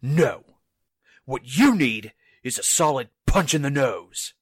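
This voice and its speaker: A man's voice: mid-range voice, sing-song, takes it slowly, husky, no room sound, clean studio sound, heavily acted. A man acting an indignant, combative young soldier who scolds in folksy slang, in a 1940s-style radio drama. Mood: indignant, threatening.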